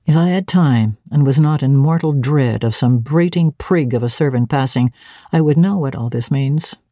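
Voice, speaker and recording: A woman voicing a brusque, imperious gentleman of the manor who questions and gives orders. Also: extremely low pitch, no echo, studio quality, pitched below the performer's own voice.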